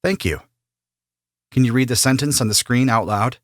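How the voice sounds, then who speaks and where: A man playing an immigration officer who asks formal, steady questions in a scripted practice interview. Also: mid-range voice, moderate pace, no echo, studio quality, natural voice. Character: male immigration officer, businesslike and neutral. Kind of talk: scripted role-play interview.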